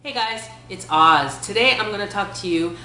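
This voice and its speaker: A man with an unusually high voice, telling quick, animated comedy stories in a vlog. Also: reverberant, faint music behind, low-quality recording. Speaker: comedy YouTuber.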